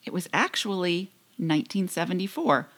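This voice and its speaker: Woman, 50s, American, notably low pitch, casual trivia-sharing podcast co-host. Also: animated, normal speed, a bit bright.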